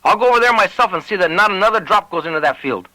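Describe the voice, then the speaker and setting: Man acting a high-strung, blustering landowner. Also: lively intonation, very fast, bright, forceful tone, dry recording, poor audio. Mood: angry. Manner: agitated, stammering. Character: blustering, anxious male landowner, easily rattled.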